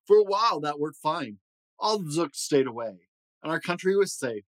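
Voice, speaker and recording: Animated man reading a children's storybook. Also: on the high side, moderate pace, dry recording. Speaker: host reading a children's book aloud. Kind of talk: animated read-aloud storytelling.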